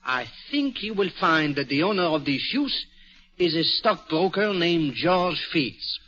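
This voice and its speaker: Courtly French-accented sleuth for vintage radio mystery; shrewd, precise, forceful and bright, on a muffled old recording. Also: male voice, fairly high pitch, expressive, unhurried, faint music behind. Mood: confident, explanatory. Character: shrewd, courteous, self-assured Francophone male private detective. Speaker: actor playing the lead detective. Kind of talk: 1940s radio mystery acting.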